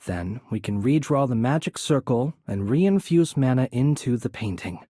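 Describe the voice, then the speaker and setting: A man playing a courteous, composed young noble mage in a video game, soft-spoken and slightly breathy, with polite, measured, formal phrasing. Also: medium pitch, lively intonation, dry recording, studio quality. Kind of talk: video game character voice acting.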